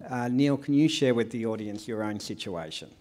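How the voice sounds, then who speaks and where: A man's voice: medium pitch, expressive, normal speed, darkish tone. A man moderating a panel, asking supportive questions and bringing speakers in. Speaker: panel facilitator.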